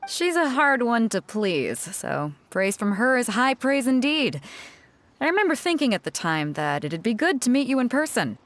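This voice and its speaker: A woman's voice: a little high, sing-song, moderate pace, dry recording. A woman voicing a confident adult ship captain in a video game, talking in a bold, wry and teasing way.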